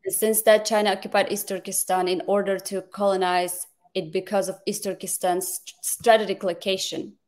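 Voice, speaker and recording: Woman guest from Kazakhstan, halting speech full of restarts, mid pitch. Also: flat delivery, darkish tone. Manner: halting, many fillers and restarts. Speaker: guest advocate. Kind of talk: interview answers.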